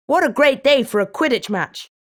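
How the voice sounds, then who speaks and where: A woman playing a keen, sporty young student at a wizard school in animated video game dialogue. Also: sits high, normal speed. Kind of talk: scripted video game voice lines.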